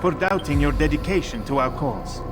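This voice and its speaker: An actor playing a courteous informant for assassins in a video game, speaking in a formal and precise way. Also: male voice, on the high side, moderate pace, music in the background, natural voice. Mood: contrite. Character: courteous, contrite male bureau informant for assassins. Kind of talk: video game cutscene acting.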